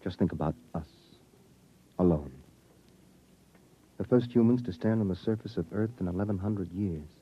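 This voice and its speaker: An actor playing a resolute, protective young rebel in a 1950s radio drama, speaking with earnest dramatic delivery. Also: male voice, low voice, normal speed, long pauses, breathy edge. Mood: soothing. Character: resolute, protective young male rebel fleeing to Earth's surface. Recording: muffled 1950s radio recording.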